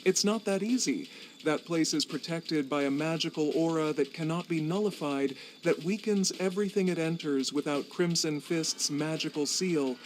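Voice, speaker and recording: A man's voice: a little high, moderate pace, slight background noise, close to an everyday voice. A man voicing an arrogant undead sorcerer with a condescending, precise manner.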